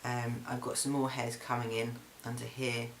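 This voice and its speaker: A man's voice: mid-range voice, monotone, normal speed, slight background noise, rough recording. A young man, talking through a casual video diary update with lots of ums and restarts, recorded indoors at home. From England. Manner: chatty, frequent ums and restarts. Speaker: vlogger. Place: flat, indoors.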